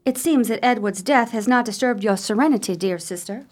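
A woman acting an unmarried, gossipy sister in an old-fashioned gothic radio drama, with a deliberate, formal and dramatic delivery. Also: fairly high pitch, music in the background, lightly acted. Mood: pointed.

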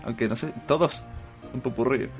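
A man's voice: mid-range voice, normal speed, soft background music. A man from Spain hosting a casual podcast round-table, laughing often and making joking asides. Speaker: podcast host.

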